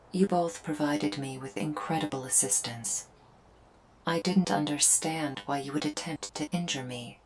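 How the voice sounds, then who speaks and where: Woman acting a low-voiced, formal alien girl. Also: slight background noise. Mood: remorseful. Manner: stilted, formal, precise phrasing without contractions. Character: female alien invader, formal, remorseful and earnest. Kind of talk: scripted ASMR roleplay to the listener.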